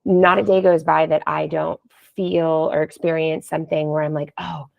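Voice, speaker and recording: A slightly dull, dry recording of a woman guest in a casual podcast interview. She talks in a low-pitched voice and sounds keen on what she shares, chatting in an informal conversational style. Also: flat delivery, normal speed, creaky, dry recording. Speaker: podcast guest, wellness app founder.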